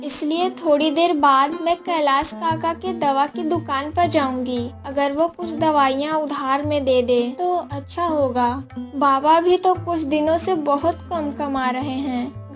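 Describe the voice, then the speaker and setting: A very high, girlish voice from a woman actor plays a poor village daughter in a cartoon moral tale. She is anxious and dutiful, speaking with earnest pleading. Light music plays behind her. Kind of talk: acted Hindi moral story cartoon dialogue.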